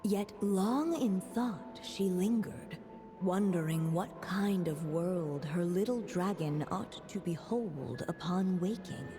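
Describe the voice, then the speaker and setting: A woman voicing a gentle, solemn storybook narrator in a video game, telling a fairy tale in a measured way, with reverb and music. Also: lowish voice. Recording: long reverb, music behind.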